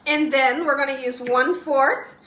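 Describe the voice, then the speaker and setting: A woman hosting a casual home cooking tutorial, slightly hoarse as she loses her voice, chatty and encouraging toward viewers. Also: high-pitched, moderate pace, pushed and bright, echoey room, distant mic, a little hiss or hum. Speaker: cooking show host. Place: home kitchen.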